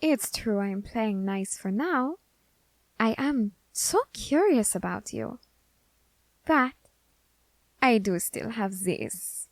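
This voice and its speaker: A woman's voice: fairly high pitch, lively intonation, no echo. A woman playing an eager, curious young Grim Reaper scholar in an ASMR roleplay, fascinated and politely menacing. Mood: playful threat. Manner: fascinated, deliberate, politely threatening. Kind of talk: ASMR roleplay addressed to the listener.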